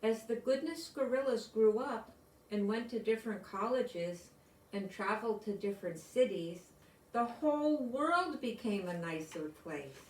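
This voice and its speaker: A woman's voice: on the high side, animated, unhurried, darkish tone. An older woman reads a children's story aloud, adding simple explanatory asides for young listeners. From United States. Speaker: storybook reader. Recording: roomy echo, distant microphone.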